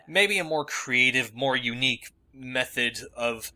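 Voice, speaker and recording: A man's voice: mid-range voice, unhurried, pushed and bright. A man giving a casual, talkative audio commentary as host, analysing at length in a roundabout way. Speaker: host, fan dub producer and sound mixer. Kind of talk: casual audio commentary over a fan dub. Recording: music behind the voice. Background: dubbed video soundtrack with music.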